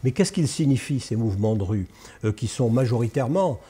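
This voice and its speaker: A man hosting an interview, his voice dark-toned and a little husky. He asks questions haltingly, restarting phrases as he goes, and carries a French accent into his English. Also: mid-range voice, expressive. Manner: halting, repeats words while switching to English. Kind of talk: podcast interview.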